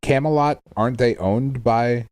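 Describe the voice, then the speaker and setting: A man's voice: medium pitch, moderate pace, a little mellow, dry recording. A man co-hosting a podcast in a casual, conversational chat. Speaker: podcast co-host. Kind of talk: casual chat about video games.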